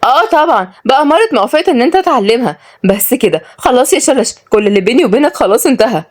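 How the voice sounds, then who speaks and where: A woman's voice: on the high side, lively intonation, quick pace, darkish tone, voice pushed up. A woman voicing a jealous, lovestruck Egyptian woman in a story, emotional and reproachful. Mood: jealous anger.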